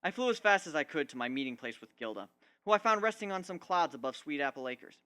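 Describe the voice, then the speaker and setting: A man's voice: on the high side, very animated, fast talker, pushed and bright, clear, resonant tone. A man narrating a piece of fan fiction as an amateur dramatic reading. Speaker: amateur story reader.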